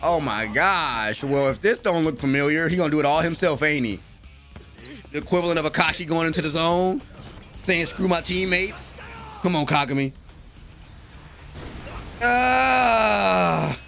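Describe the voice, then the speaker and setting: A young American man giving excited, slangy reaction commentary at home on a close microphone, with an exclamatory manner. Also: on the high side, unhurried, gravelly, pauses often, soft background music. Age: young adult. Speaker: reaction video host. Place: small room at home. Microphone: close desk microphone with headphones.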